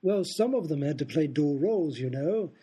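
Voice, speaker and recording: A man's voice: fairly high pitch, expressive, normal speed, mellow, slightly rough. An actor playing a smug, scheming aristocrat in a comedic radio play, explaining his prank at length.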